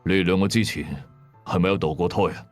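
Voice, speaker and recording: A man acts out an older Hong Kong exorcist father in a radio ghost story. The voice is low, grave and knowing. He speaks in a measured, probing way. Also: steady tone, dry recording, faint music behind, lightly acted.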